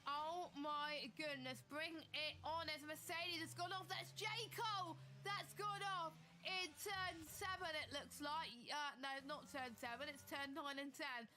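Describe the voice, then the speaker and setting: High bright woman with a cold commentating. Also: measured pace, few pauses. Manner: excitable, building to shouts at close battles. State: has a cold, losing her voice. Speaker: sim racing commentator. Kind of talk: live esports race commentary.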